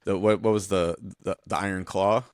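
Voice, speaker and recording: Male co-host, low register, dry recording, blunt and informal conversational tone. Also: fairly even intonation, normal speed, smooth, dry recording. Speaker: podcast co-host. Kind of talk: casual chat.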